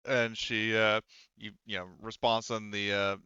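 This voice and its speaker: A man running a tabletop roleplaying game as game master, talking casually and haltingly as he thinks aloud. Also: on the low side, level tone, pushed and bright, clear, resonant tone, no echo, dull audio.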